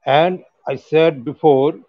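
An older man, reciting his poem very slowly and haltingly over a close webcam at home. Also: fairly high pitch, steady tone, no room sound, lo-fi sound. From Bangladesh. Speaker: poet. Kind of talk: poetry reading. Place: home room with bookshelves. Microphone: webcam close up on video call.

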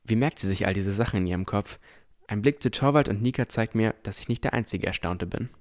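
A man's voice: lowish voice, brisk, a little mellow, natural voice. A man playing a cautious, easily amazed boy who narrates his own adventure in a scripted fantasy audio drama.

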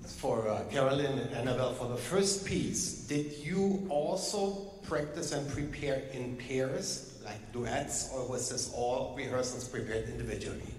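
A man's voice: mid-range voice, a little slow, few pauses, darkish tone. A man asking a question from the audience at a panel. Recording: echoey concert hall, faint noise.

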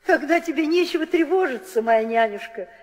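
A woman's voice: sits high, lively intonation, a little fast, a little mellow. An actress playing a proud, beloved queen in a theatrical 1960s radio drama. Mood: happy. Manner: theatrical, imperious. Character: proud, happy queen, beloved wife of a king. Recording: old radio recording with long reverb.

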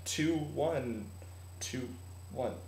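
A young man in his late teens vlogging casually from a room at home on a webcam, energetic and animated. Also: mid-range voice, very slow, creaky. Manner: energetic, animated, laughs often. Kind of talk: casual fan vlog. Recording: roomy room echo, faint hiss.

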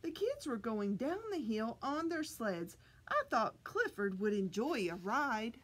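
A middle-aged woman leads story time for little children. Her voice sits high and swings widely in pitch. She takes her time, sounding out words carefully with animated emphasis. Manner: deliberate, animated, exaggerated enunciation for children. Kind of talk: expressive picture-book read-aloud for young children. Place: small library children's room, indoors.

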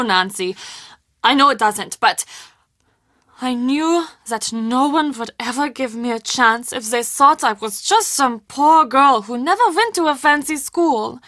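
An earnest woman who manages a castle, with a fairly high, bright voice. She talks conversationally and expressively, confiding yet quick to defend herself. The recording is dry and close. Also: no room sound. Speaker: video game character. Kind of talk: video game dialogue acting.

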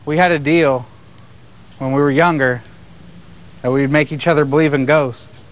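A man investigating a tunnel at night, talking in low, hushed tones and earnestly coaxing spirits to respond. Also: steady tone, normal speed. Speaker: paranormal investigator. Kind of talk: casual chat, calling out to spirits. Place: dark tunnel outdoors at night.

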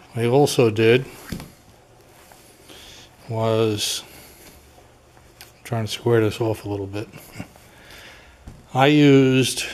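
A middle-aged man casually narrating his home repair work, unhurried and rambling with frequent uhs and throat clearing, recorded on a handheld camera in his garage workshop. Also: lowish voice, a little hiss or hum. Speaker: DIY home repair vlogger. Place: garage workshop and attic crawl space, indoors.

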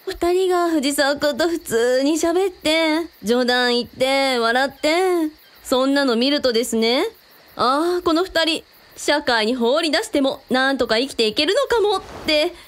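Woman playing a playful, very high-voiced girlish sleuth. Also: animated. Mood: earnest. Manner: drawn-out, playful, quirky sentence endings. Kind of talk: radio drama dialogue.

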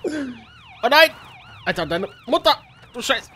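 A young man bantering playfully and excitably while playing a video game, dropping in English phrases. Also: extremely high pitch, takes it slowly, breathy edge. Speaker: let's player. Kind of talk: casual gaming banter during co-op play. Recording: noisy game audio with police sirens. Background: game sounds, sirens.

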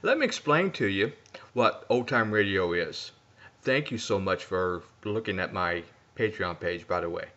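A man's voice: sits low, lively intonation, moderate pace, sharp tone. A man in his fifties, an internet radio broadcaster making an earnest, pleading appeal to camera in short emphatic phrases, recorded on a webcam at home. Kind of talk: earnest scripted plea to camera. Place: small room at home, indoors. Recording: dull lo-fi webcam sound.

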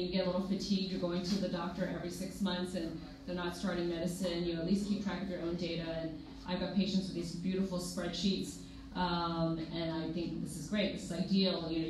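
A woman's voice: on the low side, little pitch movement, recorded from a distance. A young woman moderating a conference panel as a clinician, chatty and explanatory. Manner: chatty, explanatory, quick asides. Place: conference room with projector. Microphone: podium mic, filmed from far in the room. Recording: echoey room from afar, faint hiss.

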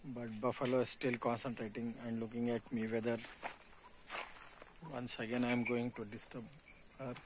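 A man's voice: mid-range voice, level tone, normal speed, pauses often. Middle-aged Indian English male, earnest phone-selfie farm commentary, outdoor hum behind. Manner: earnest, explanatory, preachy asides. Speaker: farm vlogger. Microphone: phone held at arm's length.

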